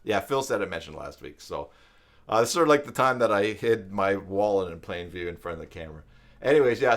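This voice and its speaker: Male live stream instructor, lower-pitched, informal and rambling, talks through each step aloud. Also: a bit bright. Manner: rambling, thinking aloud while demonstrating. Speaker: live stream host and web tutorial instructor. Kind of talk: casual live screen-share tutorial with chat.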